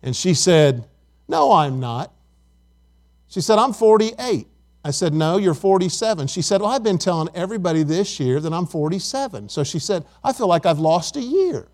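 A man's voice: high-pitched, sing-song, normal speed, a little mellow. A man in his fifties preaching a sermon, reading scripture and praying, in an earnest, conversational way with frequent repeated words. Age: 50s. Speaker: pastor.

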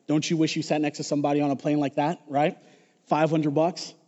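Hoarse pastor in an echoey church. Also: male voice, fairly high pitch, flat delivery, a little fast, warm tone. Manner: joking, casual, talks to the congregation. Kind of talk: sermon. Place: church sanctuary. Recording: dull echoey church hall recording.

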